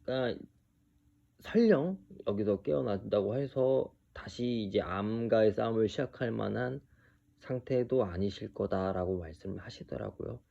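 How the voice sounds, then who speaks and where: A man in his early thirties giving an unscripted personal monologue, speaking haltingly with hesitant pauses. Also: fairly low pitch, creaky, highs cut off. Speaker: video creator telling his own story.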